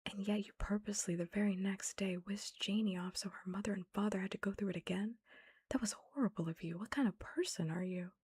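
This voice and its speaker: A woman's voice: mid-range voice, a little fast, darkish tone, slightly rough. A woman whispering the lines of an angry middle-aged father scolding a teenager in a book reading. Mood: indignant.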